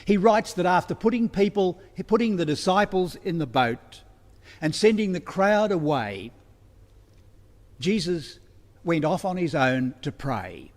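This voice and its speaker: A man preaching a sermon as a minister, deliberate and pausing between phrases. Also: sits high, big pitch swings. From Australia. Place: church, livestreamed service. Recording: long hall reverberation.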